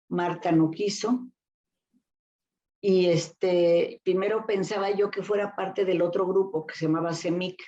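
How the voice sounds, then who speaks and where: A woman's voice: fairly low pitch, warm tone, lo-fi sound. An older woman with a Mexican accent, a therapist telling stories from her career in a rambling way, full of fillers and restarts. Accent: Mexican Spanish. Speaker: guest therapist. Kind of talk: casual interview storytelling.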